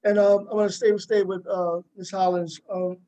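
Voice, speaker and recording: A man's voice: high-pitched, level tone, normal speed, mellow, low-quality recording. A man hosting a casual group interview, speaking in an encouraging, supportive way. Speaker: host leading a cast discussion.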